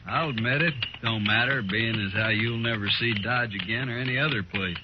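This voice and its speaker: An actor playing a cocky, taunting renegade gunrunner in a 1950s radio western, mocking and folksy in manner. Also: male voice, medium pitch, fairly quick, close to an everyday voice. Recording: noisy, muffled old radio broadcast.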